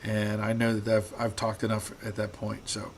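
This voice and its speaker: Relaxed halting man, voice going rough. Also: fairly low pitch. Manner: relaxed, halting, repeats words often. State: voice getting rough. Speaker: host. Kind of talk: live-stream chat answering viewer comments.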